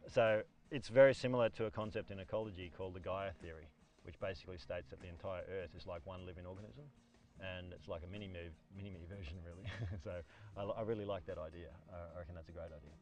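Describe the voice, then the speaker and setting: An Australian man chatting casually in an interview, laid-back and halting, with chuckles between phrases. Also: low-pitched, fairly even intonation, a little mellow, distant mic. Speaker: island guide and ranger being interviewed. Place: outdoors on a lake beach.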